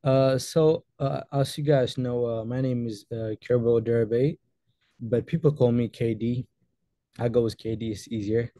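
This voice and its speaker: A young man from Ethiopia, a guest on a video call interview, answering casually with many pauses and restarts. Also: mid-range voice, steady tone, dark tone, dry recording. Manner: hesitant, many fillers and restarts. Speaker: guest photographer.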